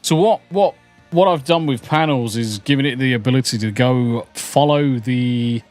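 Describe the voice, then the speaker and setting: A man co-hosts a live stream in loose, casual talk, swearing freely and tossing in "mate." His pitch is ordinary and mid-range. Faint music runs quietly in the background. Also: normal speed. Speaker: stream co-host.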